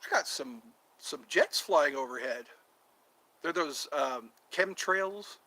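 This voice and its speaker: A middle-aged man rambles through a casual vlog, joking and trying out impressions. His voice sits on the high side for a man and swoops around a lot. The tone is bright and a little edgy. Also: animated, slow, dry recording, poor audio. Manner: rambling, jokey, tries out voices. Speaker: vlogger.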